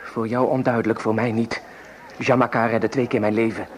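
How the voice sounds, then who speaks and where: An actor playing a young, hot-headed French revolutionary in a radio play, with an impassioned, scornful way of speaking. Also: male voice, medium pitch, flat delivery, moderate pace, natural voice. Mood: earnest, insistent. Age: young adult. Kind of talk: Dutch radio play acting. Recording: noisy old radio play recording.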